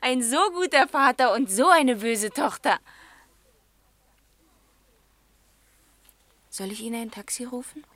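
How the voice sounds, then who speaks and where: Eine Schauspielerin spielt im Hörspiel eine verletzte, trotzige Tochter im Teenageralter, offen und stockend, oft leise werdend. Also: female voice, a little high, animated, normal speed, fairly bright tone, no room sound. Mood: brisk. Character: wounded, defiant teenage daughter, frank and vulnerable. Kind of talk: naturalistic German radio drama dialogue.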